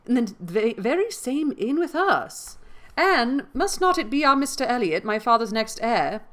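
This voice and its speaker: A woman voicing an excitable, self-important young gentlewoman in a storybook, eager and insistent in manner. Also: a little high, fast talker, voice pushed up, heavily acted. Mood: excited, amazed.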